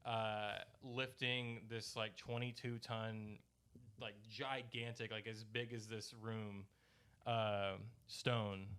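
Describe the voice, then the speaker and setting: Clear-voiced man chatting casually. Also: fairly low pitch, a bit bright. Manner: halting, searching for words. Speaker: podcast host. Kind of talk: casual chat, rambling storytelling.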